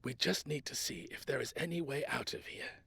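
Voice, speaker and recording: A man playing an anxious but determined grandfather on the run in an audio drama, urgent and often dropping into hushed whispers. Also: medium pitch, brisk, vocal fry, breathy edge, no room sound, exaggerated voice. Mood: hushed, tense. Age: older.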